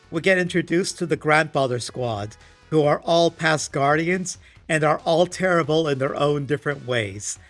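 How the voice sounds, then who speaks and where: Male video essayist, higher-pitched, wry and exasperated, casual scripted comedy commentary with faint music underneath. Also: fairly bright tone. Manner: sarcastic, exasperated; casual, swearing. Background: background music.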